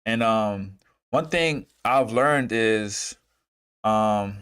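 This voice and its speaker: A man in his twenties from Uganda who moved to America, hosting a casual livestream and talking in a halting, rambling way, repeating phrases and circling back. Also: on the low side, fairly bright tone, smooth. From Uganda, moved to America as a child. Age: 20s. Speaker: trading streamer and host.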